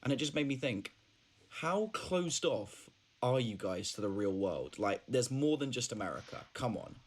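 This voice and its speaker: A man with a British accent telling an anecdote with emphatic, lively delivery. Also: mid-range voice, normal speed, a little hiss or hum. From United Kingdom. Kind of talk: storytelling vlog.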